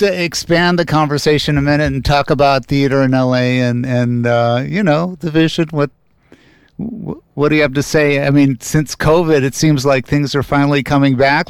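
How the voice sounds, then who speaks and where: Smooth higher-voiced male host. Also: dry recording. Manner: friendly, loose and rambling questions. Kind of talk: casual interview talk.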